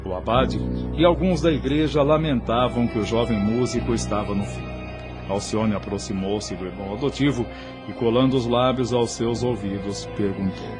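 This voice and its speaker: A man's voice: medium pitch, moderate pace, music in the background. A man with a Brazilian accent reading scripted, dramatic narration for an audio novel.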